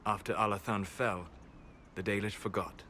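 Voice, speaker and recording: Guarded elven mage, calm, soft-spoken, low voice, faint ambient noise. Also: male voice, normal speed. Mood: solemn. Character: aloof elven male mage, scholarly and guarded. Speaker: video game character. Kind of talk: game cutscene dialogue.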